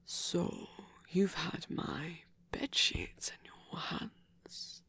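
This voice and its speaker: A man voicing a flirty, courteous vampire in an intimate ASMR roleplay, speaking in a breathy whisper with slow, formal, deliberate phrasing. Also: on the high side, huge pitch swings, sharp tone, heavily acted. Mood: teasing, courteous. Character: flirty courteous male vampire, softly seductive.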